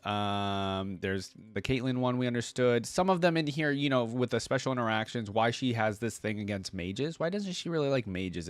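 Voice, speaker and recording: A man's voice: medium pitch, smooth, dry recording. A man giving casual reaction commentary as a video host, talking informally and thinking aloud in a conversational way.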